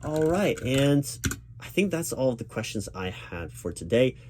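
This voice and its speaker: Mellow, soothing man as job interviewer. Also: mid-range voice, very animated, normal speed. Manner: reassuring, patient, encouraging. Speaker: ASMR roleplayer playing a recruiting manager. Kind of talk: loud-spoken ASMR interview roleplay. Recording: background noise with keyboard typing.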